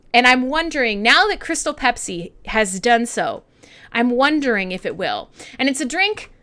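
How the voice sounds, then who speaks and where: A woman in her thirties with a Canadian accent, hosting a radio show in irreverent, sweary, sarcastic casual chat with listeners. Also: high voice. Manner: sweary, sarcastic, animated, laughs often.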